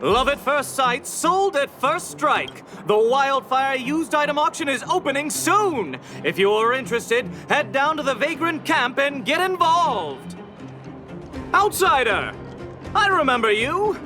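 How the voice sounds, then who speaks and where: A man's voice: very high-pitched, sing-song, measured pace, exaggerated voice. A man playing a showy auction announcer in a video game, delivering an inviting sales patter. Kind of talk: video game character acting, sales pitch. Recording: game music behind the voice.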